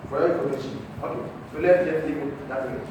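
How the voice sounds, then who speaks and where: A man's voice: on the high side, fast. A man from Ghana with a Ghanaian accent, patiently explaining things to visiting students as a mosque guide. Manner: patient, explaining, asks "okay?" and "eh?". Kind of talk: informal teaching talk. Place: large mosque hall. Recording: echoey hall from far off, with noise.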